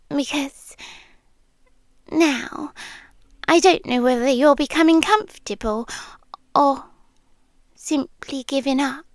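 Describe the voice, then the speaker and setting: A British woman playing a possessive, tender demi-human yandere girlfriend in an ASMR roleplay, softly and breathily, often dropping to a whisper. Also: high voice, no echo, heavily acted. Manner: slow, deliberate, pausing between short phrases. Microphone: close, dry microphone.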